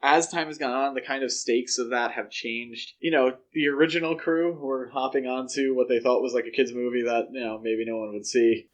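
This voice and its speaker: A man's voice: medium pitch, steady tone, brisk, hardly any pauses, dull audio. A man co-hosting a podcast, discussing film news casually in a speculative, lightly joking way. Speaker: podcast co-host.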